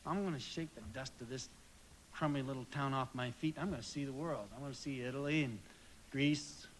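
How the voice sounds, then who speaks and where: A man's voice: mid-range voice, steady tone, brisk, natural voice, poor audio. An actor playing an earnest, folksy young small-town man in a live radio play, stammering and rambling as he goes. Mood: dreamy, eager. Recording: live stage recording with background noise.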